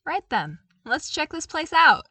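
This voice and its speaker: A woman's voice: high voice, big pitch swings, unhurried, sharp tone, dry recording, pitched above the performer's own voice, heavily acted. A woman voicing a cheerful young male engineer with a casual, eager manner in a fan fiction audiobook.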